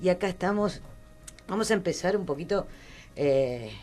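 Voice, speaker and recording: A woman's voice: on the low side, expressive, normal speed, a little mellow. An Argentine woman hosting a casual radio interview, agreeing often with short, repeated interjections. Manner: attentive, agreeing, repetitive backchannelling. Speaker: radio show host. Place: radio studio.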